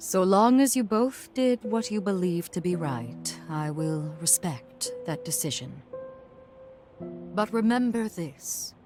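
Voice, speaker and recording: An actress playing a solemn, regal guardian of time in a video game, speaking in a formal, grave manner. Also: female voice, on the low side, lively intonation, slow pace. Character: solemn, regal lady who watches over time. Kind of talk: video game cutscene dialogue. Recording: faint music behind.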